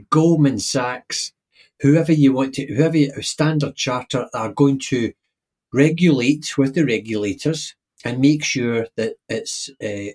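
Guy on a podcast, husky voice, opinionated, keeps restarting his sentences. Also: medium pitch, a little mellow. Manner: opinionated, emphatic, repeats words when starting. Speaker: podcast co-host. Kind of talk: casual chat.

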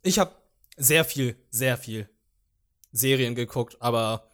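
A man's voice: medium pitch, slow, fairly bright tone. A young man whose first language is Vietnamese, co-hosting a podcast and recalling things in a meandering, hesitant way. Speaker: podcast co-host. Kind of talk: casual chat.

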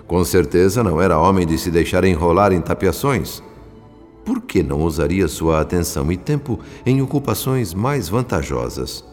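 A man with a Brazilian accent narrating a radio drama as a solemn observing spirit, in a literary, theatrical manner. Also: deep voice. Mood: solemn, reflective. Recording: long reverb, music underneath. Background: music.

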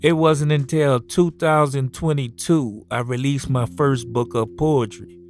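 A middle-aged man from New Orleans, a poet reading a measured self-introduction and a poem. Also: medium pitch. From New Orleans, USA. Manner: measured, spells words out. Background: soft guitar music.

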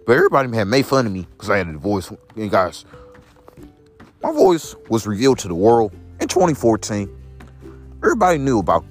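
A young man with a deep voice talks straight to his viewers, swinging his pitch wildly from low murmurs up to sudden high notes. He rambles and repeats himself, restating each line several times over. Faint music plays behind him. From Illinois, USA. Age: 20s. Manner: repetitive, restates lines in several persons. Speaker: vlogger. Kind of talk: rambling casual monologue to viewers. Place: outdoors in the cold.

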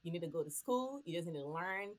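A woman's voice: on the low side, animated, fast. A woman from Ghana, a podcast co-host, sharing holiday memories in casual chat with frequent laughs.

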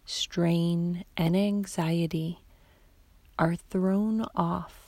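A woman guiding a sleep meditation in a soft, half-whispered, soothing voice, leaving long pauses between short phrases. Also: lowish voice, no room sound. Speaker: meditation guide.

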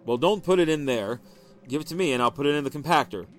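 A man voicing a suspicious, volatile husband who talks in a forceful, accusing way. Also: on the high side, quick pace, faint music behind, natural voice.

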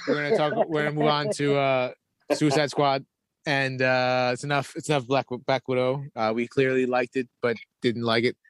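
A man's voice: mid-range voice, level tone, moderate pace. Podcast host, man, emphatic and casual, steers the talk. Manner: emphatic, repeats himself, profane. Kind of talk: casual movie chat.

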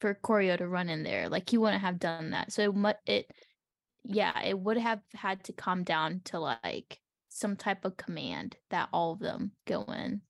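A woman's voice: lowish voice, lively intonation, unhurried, vocal fry, no echo, studio quality. A woman co-hosting a podcast, talking casually through a book with others. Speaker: podcast co-host.

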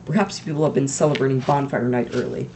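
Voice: medium pitch, fast talker, darkish tone, a little hiss or hum, close to an everyday voice. A reader voicing a jokey, chatty male television weatherman. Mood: jovial. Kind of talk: mock weather report.

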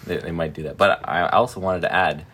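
A man's voice: sits low, a little fast, fairly bright tone, a little hiss or hum. A man in his forties co-hosting a podcast in casual, joking chat, laughing often. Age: 40s. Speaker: podcast co-host.

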